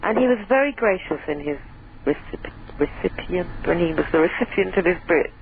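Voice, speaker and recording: A woman calling in to a radio phone-in, chatty and giggly, her voice a little hoarse. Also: lowish voice, lively intonation, a little fast, slight background noise. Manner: chatty, giggly, self-deprecating. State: voice going hoarse. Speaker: caller. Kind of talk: phone-in chat. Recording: tinny phone line.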